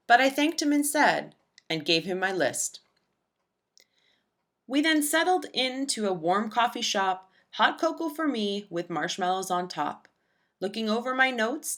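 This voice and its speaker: A woman narrating a rhyming children's storybook in an expressive, deliberate storytelling manner for young listeners. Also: on the high side. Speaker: children's book read-aloud narrator.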